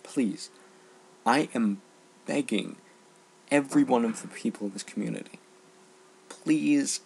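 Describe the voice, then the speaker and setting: A young man giving an earnest, exasperated, unscripted rant, speaking emphatically with halting pauses in a husky, gravelly voice. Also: on the high side, slight background noise, poor audio. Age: teens to young adult. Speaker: online ranter.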